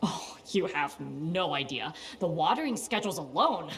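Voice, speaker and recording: A woman's voice: deep voice, animated, moderate pace, a bit bright. A woman playing an earnest, socially awkward soldier in a video game, chatty in manner. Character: awkward, earnest female soldier who loves plants. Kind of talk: video game dialogue acting.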